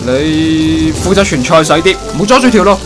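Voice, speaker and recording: A man's voice: fairly high pitch, lively intonation, moderate pace, lightly acted, poor audio. A man playing a sardonic, take-charge young gamer, the older brother, with a Hong Kong accent in a comic audio drama. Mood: dry, sardonic. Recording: music behind the voice.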